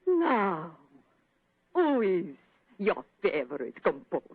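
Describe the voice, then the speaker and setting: A fiery, murderous opera diva. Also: female voice, mid-range voice, huge pitch swings, slow, slightly rough, no room sound, exaggerated voice. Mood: seductive. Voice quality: theatrical, flamboyant. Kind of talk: 1940s radio acting. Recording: tinny old radio broadcast.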